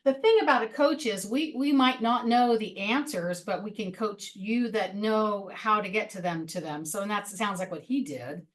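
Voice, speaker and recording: A woman host talks with her guest over a video call from an office. She is encouraging and quick with affirmations, nudging the conversation along. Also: mid-range voice. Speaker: interviewer, show host.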